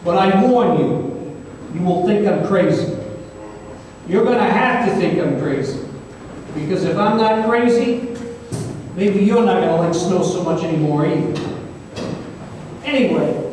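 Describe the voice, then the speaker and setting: A man's voice: high-pitched, expressive, unhurried, long pauses, darkish tone. A man playing a jaded, wry retired private investigator in an old time radio drama, hesitant and rambling. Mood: uneasy. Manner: hesitant, rambling, filler-heavy storytelling. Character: jaded, wry retired small-town private investigator telling his tale. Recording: echoey hall, distant mic, faint noise.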